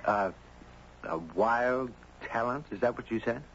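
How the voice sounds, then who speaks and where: Radio play lead: earnest, searching, entranced fellow; dull, very noisy vintage sound. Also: male voice, medium pitch, a little slow, fairly bright tone. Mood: puzzled. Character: earnest, inquisitive man, entranced by a strange girl. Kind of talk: 1970s radio drama acting. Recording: muffled, noisy 1970s radio recording.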